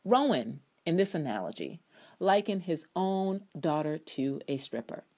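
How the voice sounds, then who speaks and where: Female reader, low pitch, delivering sardonic TV commentary as a dramatic, emphatic essay reading. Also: sing-song, unhurried, darkish tone. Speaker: TV drama blogger reading own review aloud.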